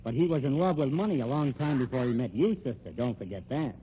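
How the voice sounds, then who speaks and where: A man's voice: mid-range voice, animated, fast talker, dark tone, natural voice. Actor as a slick, swooping tough-guy private eye. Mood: pushy, scheming. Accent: American. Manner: wisecracking, pushy, tough-guy slang. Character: slick, menacing male private detective and con man. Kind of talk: old-time radio crime drama acting. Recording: dull old radio recording, faint noise.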